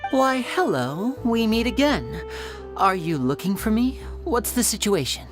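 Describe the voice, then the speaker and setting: A woman playing a suave, self-possessed young male stage magician in a video game, speaking smoothly and theatrically with polite poise. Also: medium pitch, big pitch swings, a little slow, over music. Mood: playful.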